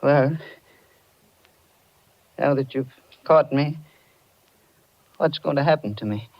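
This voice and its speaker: A man playing a guilty, anguished husband with halting, dramatic delivery in 1940s radio style. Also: on the high side. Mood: resigned, defeated. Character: anguished guilty husband confessing to hit-and-run. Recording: muffled 1940s radio recording, music behind.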